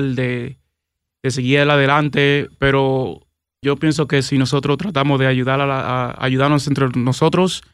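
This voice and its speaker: A man, a police officer from the Dominican Republic, speaking earnestly and haltingly, with restarts and some English fillers. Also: medium pitch, fairly bright tone, clean tone, dry recording, studio quality.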